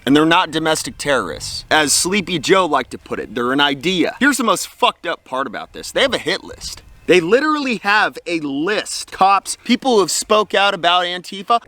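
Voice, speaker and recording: Animated, high-pitched American man. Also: normal speed, few pauses, no room sound. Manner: blunt, indignant, colloquial. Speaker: host of a political commentary show. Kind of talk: opinionated commentary to camera.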